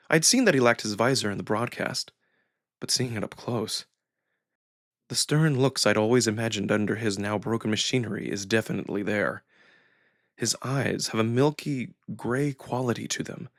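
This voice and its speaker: A man's voice: lowish voice, normal speed, long pauses, no echo, clean studio sound. A man reading first-person narration from a visual novel in a dramatic storytelling style. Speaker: narrator and voice performer.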